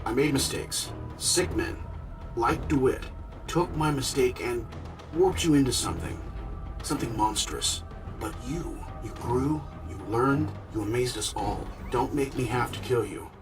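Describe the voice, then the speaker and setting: An actor playing a cocky, quippy genius inventor hero in a video game cutscene. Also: male voice, medium pitch, natural voice. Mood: pleading. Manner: wisecracking, fast banter. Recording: distant voice over music.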